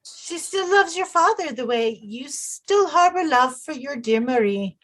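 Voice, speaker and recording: High, lilting young queen played by a woman. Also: animated, measured pace, fairly bright tone, poor audio. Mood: wistful, apologetic. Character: young French queen consort, devoted, deferential, wistful. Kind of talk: stage-style audio drama acting.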